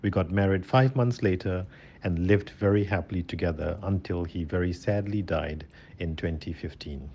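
A man's voice: sits low, moderate pace, breathy edge, dull audio. A middle-aged or older man giving a prepared personal testimony. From Singapore. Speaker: interviewee sharing his story.

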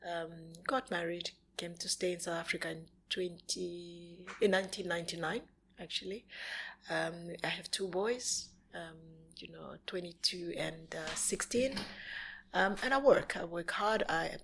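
A woman in her forties with a fairly low voice, from Zambia and the DR Congo. She grew up speaking Swahili, Kinyarwanda and French and talks conversationally, telling her own story as an interview guest. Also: measured pace, vocal fry, a bit bright, a little hiss or hum. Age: 40s. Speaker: podcast guest.

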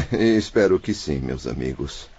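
An actor playing the troubled, devout spirit of a former wine merchant, weary and deferential, in a radio drama. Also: male voice, sits low, sing-song, slow pace, gravelly, a little mellow, slightly rough, lo-fi sound, heavily acted. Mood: weary, uneasy.

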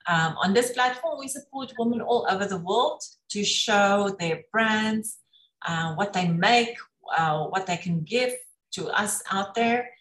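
A woman speaks as the host of an online interview, putting questions to a guest. Her voice sits at an average pitch, and the sound is quite echoey, as if from a bare room on a video call. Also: lively intonation, unhurried, a bit bright.

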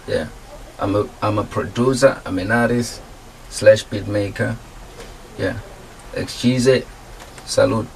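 A laid-back man with a lowish voice tells his story as a radio guest, easygoing and slangy. He sits a little back from the mic, and a light hiss runs underneath. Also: slow pace, creaky, pauses often, far from the mic, poor audio. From South Africa. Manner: relaxed, laid-back slang, laughs easily. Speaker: guest music producer and artist. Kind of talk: casual interview talk.

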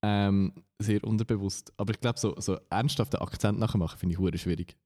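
A man's voice: lowish voice, steady tone, brisk, a little mellow, in a large hall. A man from Uri with a Swiss German accent, co-hosting a podcast in a laid-back, slightly hesitant way. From Uri, Switzerland. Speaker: podcast co-host. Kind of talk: casual podcast chat.

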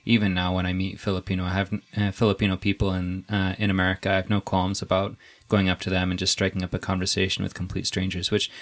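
A young man with a low voice and a gravelly, creaky edge leads a casual interview. He talks in a relaxed, easygoing way, restarting sentences as he thinks. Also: fast, hardly any pauses.

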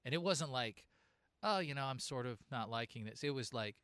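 A man's voice: medium pitch, lively intonation, brisk, a bit bright, clear, resonant tone. A man hosting an interview talks in a loose, rambling way and often restarts his sentences. His voice sits in the middle range. The sound is dry, with no room echo. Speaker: interview host. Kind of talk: casual interview chat.

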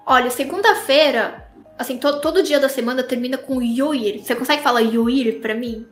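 A young woman from Korea, explaining how she teaches Portuguese to players in a relaxed interview, often laughing. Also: high voice, normal speed, faint music behind. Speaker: language teacher for esports players. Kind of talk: casual interview answers. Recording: roomy echo.

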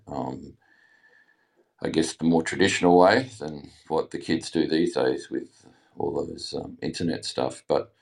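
Middle-aged Australian man, very deep, halting casual talk on a call. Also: a little mellow. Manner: halting, many ums and restarts. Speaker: caller. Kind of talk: casual chat.

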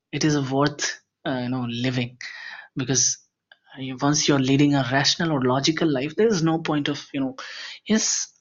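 A young man from India with an Indian accent gives an earnest, unscripted personal testimony, speaking haltingly with frequent pauses. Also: mid-range voice. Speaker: voicemail caller. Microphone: voicemail recording. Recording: dull lo-fi voice message.

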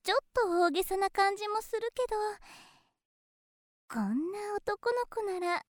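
Very high-pitched, flirty nurse. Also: female voice, lively intonation, no room sound, clean studio sound. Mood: friendly, attentive. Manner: cutesy, teasing, coaxing, unhurried. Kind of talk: Japanese situation voice drama, close one-on-one address.

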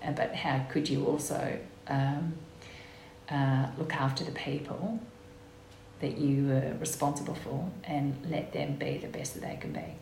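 A woman's voice: extremely low pitch, animated, measured pace, vocal fry, a little mellow. An Australian woman, raised in Western Australia and first speaking Italian, talking as a podcast guest in a confident, emphatic way with frequent agreement. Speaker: guest, executive officer of a gender equity group. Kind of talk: conversational podcast interview. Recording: echoey room with faint noise.

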